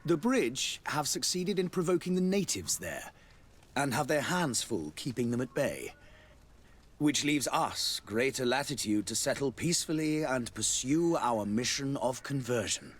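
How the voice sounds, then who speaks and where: An actor playing a solemn, dignified cardinal ambassador in a video game, speaking in a formal, pious manner. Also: male voice, medium pitch, expressive, measured pace. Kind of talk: scripted video game dialogue.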